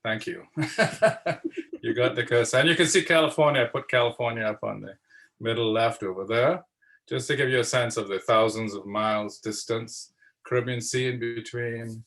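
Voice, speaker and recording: A man from Trinidad and Tobago giving an unhurried slide presentation over a video call, pausing for fillers and repeating words. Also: medium pitch, steady tone, a bit bright. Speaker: guest speaker.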